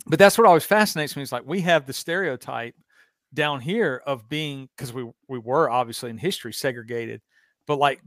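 A man's voice: medium pitch, fairly quick, a bit bright, no room sound, studio quality. A man in his thirties with a Southern US accent, hosting a podcast in easygoing, rambling chat with chuckles. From American South. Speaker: podcast host. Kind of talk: casual chat.

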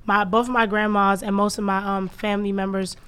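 A young woman, a podcast guest, talking about her family in relaxed, slangy casual chat. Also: mid-range voice, brisk, clean tone. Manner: relaxed and open, with slang and many "um"s.

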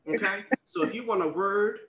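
A man's voice: sits high, normal speed, echoey room, crowd noise, low-quality recording. A man hosting a casual podcast, conversational and playful, often repeating words as he goes. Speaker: podcast host.

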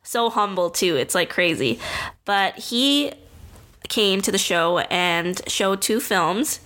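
Bright-voiced chatty woman co-host. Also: mid-range voice, expressive, slow. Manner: chatty, upbeat, says "like" often. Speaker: podcast co-host. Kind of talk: casual chat.

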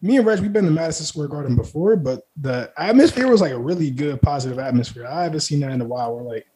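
A man's voice: on the high side, lively intonation, fast, warm tone. A man co-hosting a podcast in casual sports chat, with a slangy, profane way of talking. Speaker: podcast co-host. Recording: noisy recording with clicks.

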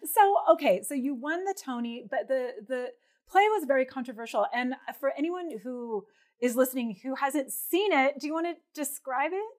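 A woman's voice: sits high, expressive, normal speed, a little mellow. An American woman hosting an interview in a chatty, informal, conversational way. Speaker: interview host.

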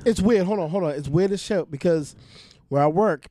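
A man's voice: high voice, fairly quick, warm tone. A man with a Boston accent chatting casually and telling stories on a podcast. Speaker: podcast co-host. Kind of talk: casual chat.

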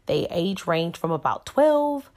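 A woman in her thirties with a somewhat low-pitched voice talks to her podcast listeners about faith. Her delivery is inspirational and earnest. She leans on key words and repeats phrases in the manner of a preacher. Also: big pitch swings, slow, darkish tone. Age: 30s. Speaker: podcast host.

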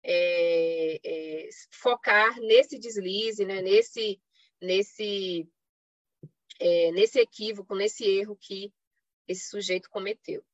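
A Brazilian woman with a mid-pitched voice presents in an academic, semi-improvised lecture style. She explains step by step, leaning on rhetorical questions and short tag checks. The sound is dry and a little lo-fi, as on an online call. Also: drawn-out words, no room sound. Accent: Brazilian Portuguese. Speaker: academic panelist giving a presentation. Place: online live stream. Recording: slightly dull lo-fi online call audio.

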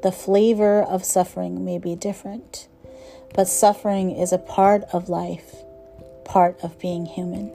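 A woman leads a guided meditation in a mellow, relaxed voice that sits a little low. Her instruction is gentle and measured, with phrases softening as they end. Faint music plays behind her. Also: gravelly.

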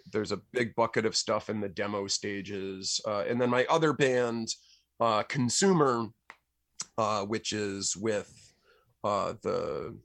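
A man chatting casually as a podcast guest about his work as a musician, in a rambling, halting way that stumbles over words. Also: on the low side, no room sound. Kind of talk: casual chat about own projects.

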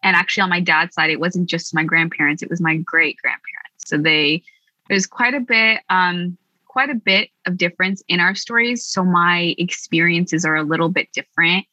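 A young Canadian woman hosts in an encouraging, friendly way, her voice bright and on the lower side. The recording is dry and somewhat dull. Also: normal speed, dry recording. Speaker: host. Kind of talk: casual chat.

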